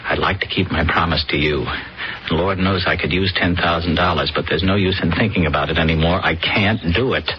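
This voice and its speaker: A man's voice: deep voice, a little fast, natural voice. An actor playing an uneasy young man pulled into a murder plot, in an old radio drama with dull, noisy lo-fi sound. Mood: reluctant. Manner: hesitant, uneasy. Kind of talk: 1970s radio drama acting.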